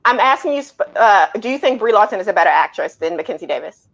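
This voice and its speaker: A woman's voice: medium pitch, expressive, fast talker, vocal fry, fairly bright tone. A woman on a casual discussion panel, arguing her picks in an insistent, emphatic way. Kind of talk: casual panel movie debate. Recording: dull lo-fi audio.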